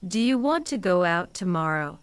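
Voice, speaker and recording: A woman reading short English phrases one at a time for a language lesson, in a measured, scripted way. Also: lowish voice, big pitch swings, dry recording. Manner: clear, measured prompts with pauses.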